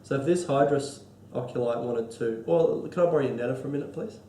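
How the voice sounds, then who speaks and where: An Australian man with a cold and a stuffy nose, explaining board game rules in a halting, casual way full of ums and restarts. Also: mid-range voice, dark tone, reverberant, slight background noise. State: congested from a cold. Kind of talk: casual tabletop rules walkthrough.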